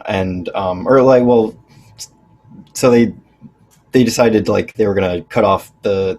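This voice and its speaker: A young man from Illinois talks as a podcast guest in a slightly low voice. He rambles, stacking fillers and restarting his sentences as he goes. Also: a little mellow. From northern Illinois. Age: young adult. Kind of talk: casual chat.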